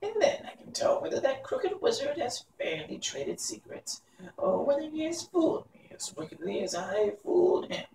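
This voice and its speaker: A man's voice: very high voice, wildly swooping pitch, moderate pace, vocal fry, a little mellow, pitched above the performer's own voice, exaggerated voice. A man voicing a spiteful, scheming old witch woman from a storybook, muttering gleefully to herself. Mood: sly, eager. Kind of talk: storybook character voice. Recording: echoey room, distant mic, faint hiss.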